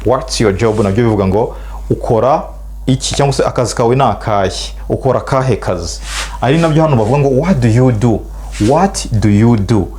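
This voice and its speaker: A middle-aged man with a Rwandan accent, a native Kinyarwanda speaker, teaching spoken English and stretching out model phrases slowly for learners to repeat. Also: mid-range voice, expressive, darkish tone, a little hiss or hum.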